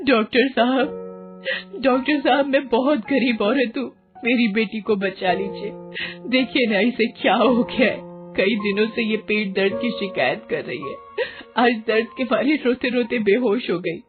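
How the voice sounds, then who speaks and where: Casting: poor village mother voiced by a narrator, desperate and insistent, higher-pitched, over music on a tinny recording. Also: unhurried, close to an everyday voice. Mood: pleading. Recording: tinny phone-like sound with music behind.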